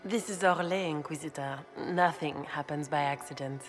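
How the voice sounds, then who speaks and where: A woman's voice: low-pitched, moderate pace, lightly acted. A woman playing a poised, scheming grand duchess in a fantasy video game, with a formal, insinuating manner. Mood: gracious, conspiratorial. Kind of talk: dramatic video game dialogue. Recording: hall reverb with faint music.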